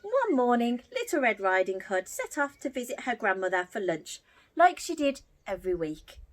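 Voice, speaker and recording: A woman telling a story to young children as an entertainer, theatrical and expressive. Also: on the high side, normal speed.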